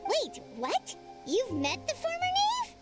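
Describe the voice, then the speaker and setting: Squeaky little fairy companion. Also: female voice, huge pitch swings, full character voice. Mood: surprised. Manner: curious, quick questions. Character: tiny high-pitched floating fairy companion, chatty. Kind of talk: video game voice acting. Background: game music.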